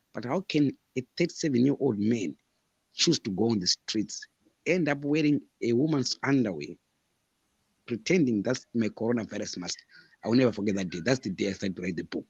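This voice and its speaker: A man's voice: medium pitch, animated, a little fast, a little mellow, slightly rough, no echo, muffled recording. A man in his thirties from Zimbabwe, a guest author answering interview questions in an earnest, emphatic way and repeating his points. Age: 30s.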